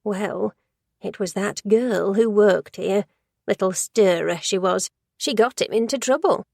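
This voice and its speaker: A woman's voice: medium pitch, measured pace, creaky, a little mellow, no room sound, studio quality. A woman voicing a gossipy, judgmental older woman who works in an office, rambling and easily sidetracked in a storybook reading. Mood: disapproving.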